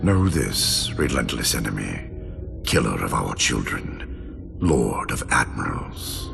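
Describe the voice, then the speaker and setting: An ancient, gravelly alien warlord. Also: male voice, rumbling low, big pitch swings, full character voice. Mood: solemn. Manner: solemn, slow, portentous speech. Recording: loud ominous music behind.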